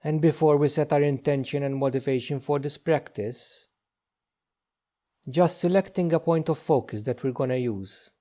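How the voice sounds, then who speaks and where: A man leading a guided meditation as a teacher, speaking gently and slowly with long pauses. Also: on the high side. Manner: slow, hushed, gentle delivery with long pauses.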